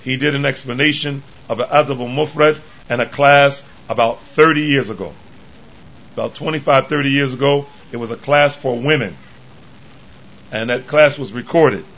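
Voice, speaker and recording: A man with a hoarse, worn voice gives a religious lecture to a congregation. He speaks haltingly, pausing and restarting often, and his pitch sits in a middle range. The recording sounds dull and muffled. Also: a bit bright. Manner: halting, with many pauses and restarts. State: tired from travel. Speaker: imam giving a lecture.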